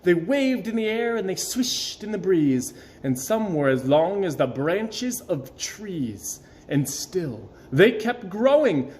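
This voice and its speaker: A man performs an expressive read-aloud for children. His delivery is theatrical and very animated in pitch, leaning on key words and pausing for effect. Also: slight background noise. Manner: theatrical, dramatic emphasis and pauses. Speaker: storybook reader.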